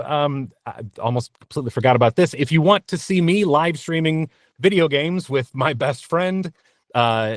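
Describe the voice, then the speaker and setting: A man speaks in a casual interview style with a middling pitch. He is earnest and tends to break off and restart his thoughts. The recording is dry, with very little room sound. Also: dry recording. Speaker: interview guest, voice actor.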